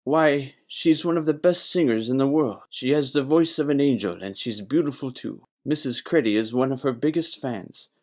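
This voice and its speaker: A man's voice: medium pitch, normal speed, hardly any pauses, a little mellow, close to an everyday voice. A man playing a naive, gossipy young bartender in a noir audio play, earnest and emphatic.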